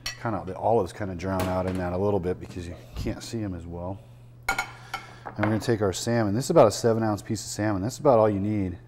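A man's voice: on the low side, quick pace, noisy background. A man in his sixties, a chef hosting a cooking show, talking casually and explaining each step as he prepares a dish in a restaurant dining room. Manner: relaxed, chatty, explains as he works.

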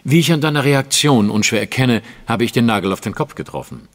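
An actor playing a shrewd, self-assured gentleman detective in a German radio play, probing and dryly ironic with precise wording. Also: medium pitch, animated, a little fast, in a large hall, natural voice. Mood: confident, shrewd.

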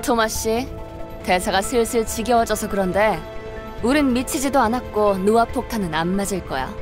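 A woman's voice: a little high, normal speed, lightly acted. A woman playing an easygoing, blunt, teasing fighter with a casual way of talking. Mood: nonchalant. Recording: music under voice.

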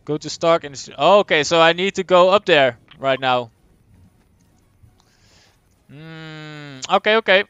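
A young man from the Netherlands with a Dutch accent, chatting casually as he streams a game, answering chat and thinking out loud. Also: a little high, unhurried, pauses often, clean tone, no room sound, dull audio. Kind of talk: live gameplay commentary, reading chat.

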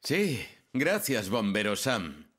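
A man's voice: lowish voice, wildly swooping pitch, slow pace, fairly bright tone, breathy edge, heavily acted. An actor playing a nervous, good-natured handyman who talks hesitantly in a children's cartoon dub. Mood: sheepish. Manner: hesitant, sheepish. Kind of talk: dubbed children's cartoon acting.

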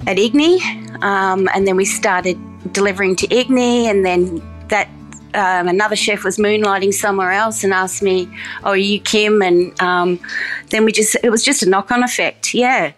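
A woman's voice: medium pitch, fairly even intonation, moderate pace, faint music behind, low-quality recording. A woman speaking casually in an interview, chatty, with many ums and restarts. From Australia. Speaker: farm program coordinator.

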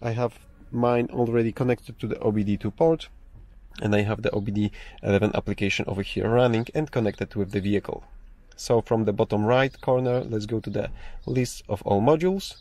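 A man's voice: lowish voice, steady tone, a little fast, a little mellow. A man hosting a casual how-to tutorial from inside a parked car, explaining steadily with frequent fillers and restarts. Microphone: phone or camera held in hand, speaker off screen.

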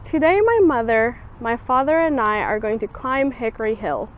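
Young woman, higher voice, expressive picture-book read-aloud, outdoor camera recording. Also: normal speed. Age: young adult. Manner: expressive storybook reading with lively voiced quotes. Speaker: student volunteer reader. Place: outdoors on a campus bench.

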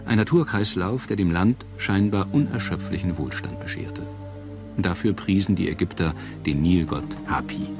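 A man reading scripted documentary narration in a measured, articulate storytelling manner. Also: low voice. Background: background music.

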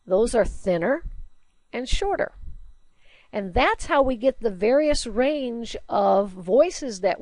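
A woman teacher giving a recorded slide lecture, chatty and explaining with everyday examples and questions. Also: dry recording. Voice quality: low alto singing voice, by her own account.

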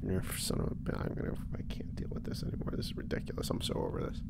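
A woman's voice: fast, whispered, close to an everyday voice. A woman playing an irritable, hungover male desk clerk in a comic audio drama, muttering and curt. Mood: muttering, exasperated.

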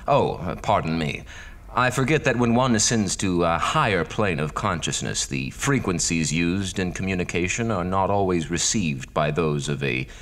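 An actor playing a smug, all-knowing horror show announcer in a theatrical, pompous manner. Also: male voice, sits low, expressive, moderate pace, a bit bright. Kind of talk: horror anthology radio announcing.

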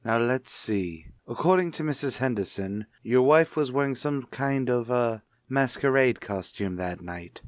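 An actor playing a shrewd, probing police captain with a sardonic manner in a radio mystery. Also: male voice, medium pitch, expressive, unhurried, few pauses, dry recording, studio quality, close to an everyday voice. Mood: brisk, probing. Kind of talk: retro radio mystery acting.

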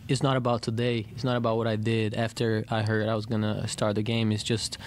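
A man in his thirties answering reporters after a game at a desk mic, halting with many fillers and restarts. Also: fairly low pitch, level tone. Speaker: basketball player at press conference. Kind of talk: post-game press answers. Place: arena press room.

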